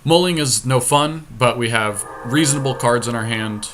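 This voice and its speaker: Man, bright forceful voice, mid pitch, casual game commentary, informal podcast co-host. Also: normal speed, slight background noise.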